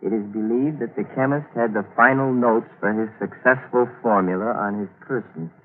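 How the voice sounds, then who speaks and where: An actor playing a cold, scheming young murderer in a 1940s radio drama, brooding and insistent. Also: male voice, lowish voice, normal speed, narrow, tinny audio, close to an everyday voice. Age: young adult. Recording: thin, noisy 1940s radio recording.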